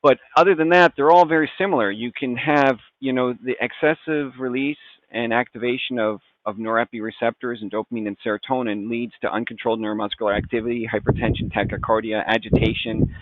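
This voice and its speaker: A man's voice: mid-range voice, steady tone, a little fast, dry recording. A middle-aged man, a physician guest explaining medicine conversationally, with folksy, informal phrasing mixed into technical jargon. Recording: phone-like, narrow-band audio.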